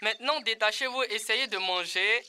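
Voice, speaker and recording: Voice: medium pitch, moderate pace, pushed and bright, slight background noise. An actor voicing an earnest, encouraging young animal team leader in an educational children's cartoon, explaining things in a didactic way.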